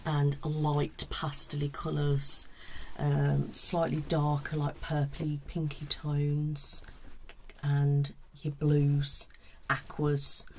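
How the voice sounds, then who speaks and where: A woman giving a soft-spoken ASMR consultation in an unhurried murmur that slips in and out of a whisper. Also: low voice, completely flat delivery, slight background noise. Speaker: colour analysis consultant.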